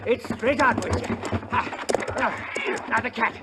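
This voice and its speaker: Feisty little Irish wagon man, extremely high voice, blustering and excitable, heavily noisy vintage radio Western recording. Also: unhurried. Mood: frantic. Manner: blustering, colorful insults, excitable. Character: small, feisty, wild Irishman freighter with a cat. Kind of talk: 1950s radio Western acting.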